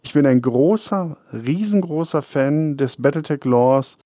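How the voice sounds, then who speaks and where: A man's voice: a little high, animated, unhurried, warm tone, dry recording, clean studio sound. A man hosting a casual hobby podcast, chatty and rambling, often laughing. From Germany. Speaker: podcast host. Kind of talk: casual chat.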